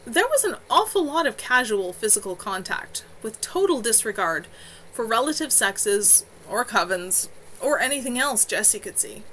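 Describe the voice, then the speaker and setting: Woman, bright voice, lively swooping intonation, expressive fiction narration, animated dialogue, faint background noise. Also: a little high, normal speed, poor audio. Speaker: author reading own novel. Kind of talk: expressive audiobook-style story narration. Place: at home on a live stream.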